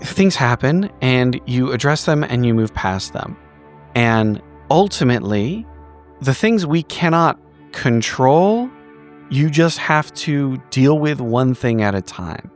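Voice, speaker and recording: Man playing a halting, anxious small woman, music behind. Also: mid-range voice, lively intonation. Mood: strained, brittle calm. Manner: halting, self-interrupting, explaining. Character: frumpy small woman, anxious, deflecting, self-justifying. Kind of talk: improvised in-character roleplay dialogue.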